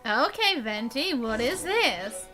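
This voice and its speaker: A woman's voice: high voice, very animated, slow pace, sharp tone, faint music behind. A woman live streaming a game, reading its dialogue aloud and adding short casual reactions.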